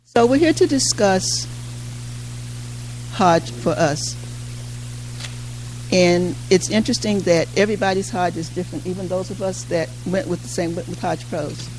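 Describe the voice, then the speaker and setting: An older woman moderating a community talk, speaking informally and haltingly, often repeating her phrases. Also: fairly low pitch, a little mellow, rough recording. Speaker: panel moderator. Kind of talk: informal public address. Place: community hall at a mosque. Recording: faint background noise and hum.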